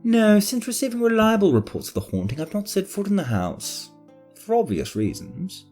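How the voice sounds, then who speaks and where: A man's voice: high-pitched, huge pitch swings, moderate pace, dark tone, faint music behind. A man playing a haughty nobleman who talks in a clipped, sardonic, imperious way in an audio drama. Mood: matter-of-fact. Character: haughty male nobleman hiring a ghost hunter.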